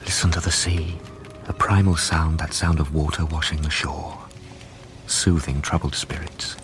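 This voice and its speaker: A low-voiced, grieving man speaks intimately to his lost love in a radio play. He is wistful and brooding, and loud music plays behind him. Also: fairly even intonation, moderate pace.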